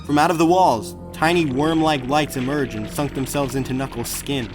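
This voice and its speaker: A man's voice: mid-range voice, normal speed. A man reading scripted story narration as a dramatic audiobook narrator. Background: music.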